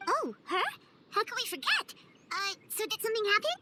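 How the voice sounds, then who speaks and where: A woman voicing a tiny childlike fairy companion in a video game, squeaky, cutesy, inquisitive and excitable. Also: fairly quick, very noisy. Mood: curious, concerned. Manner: cutesy, exclamatory, speaks of self in third person. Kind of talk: video game cutscene voice acting.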